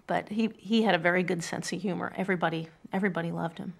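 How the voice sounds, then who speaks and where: Creaky-voiced, low-pitched middle-aged woman, earnest. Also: fairly even intonation, fast talker. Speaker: poetry reader telling family memories. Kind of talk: reflective personal storytelling and poem reading. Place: quiet furnished room indoors.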